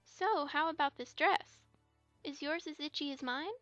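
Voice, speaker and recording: A woman's voice: sits high, a little slow, a bit bright, highs cut off, soft background music. A woman playing an earnest, slightly defensive young unicorn filly with a childlike, hesitant manner in an amateur audio drama. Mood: childlike complaint.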